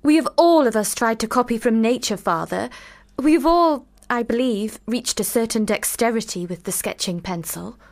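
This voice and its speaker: Questioning Victorian girl, highish animated voice. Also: normal speed. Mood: composed, pointed. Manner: precise, formal, questioning. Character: thoughtful, questioning, quietly defiant Victorian girl, eldest sister. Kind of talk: radio drama acting.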